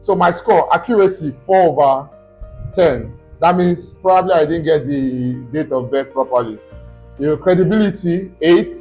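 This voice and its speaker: A man's voice: fairly high pitch, normal speed, dark tone, faint music behind. Man explaining with frequent "okay?". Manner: explaining step by step, checking in with "okay?". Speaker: study abroad consultant. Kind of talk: screen-recorded tutorial.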